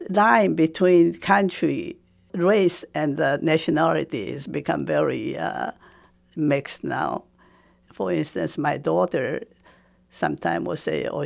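A woman in her seventies from Taiwan, a Japanese speaker, recalling her life slowly and hesitantly with frequent fillers. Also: sits low, vocal fry. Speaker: interviewee. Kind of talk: personal recollection.

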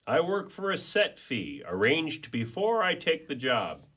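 A man's voice: on the high side, wildly swooping pitch, a little slow, fairly bright tone, heavily acted. A man playing a brawny, easygoing pet detective in a radio play, a folksy and rambling talker. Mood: easygoing, chatty. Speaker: actor in a community radio play.

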